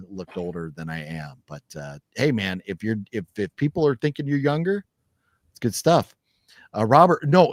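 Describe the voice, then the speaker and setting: A man's voice: lowish voice, no echo, studio quality. Rambling, cussing man in his fifties. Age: 50s. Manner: rambling, profane and laughing. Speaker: podcast host. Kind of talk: casual livestream chat reading viewer comments.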